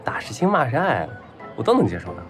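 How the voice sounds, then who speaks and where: Casting: spoiled young heir in love, clingy, naive, whiny, very animated pitch, for audio drama. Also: male voice, quick pace, music in the background. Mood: eager, chatty. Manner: eager, whiny, childishly earnest chattering. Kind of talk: Chinese audio drama acting.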